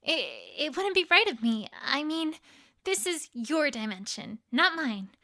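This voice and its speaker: A woman playing a bashful, bookish young pony student in an audio drama, speaking earnestly and haltingly. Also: high voice, animated, bright, forceful tone, exaggerated voice. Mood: flustered, bashful. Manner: halting, earnest speech with stammers. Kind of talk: audio drama fan fiction reading.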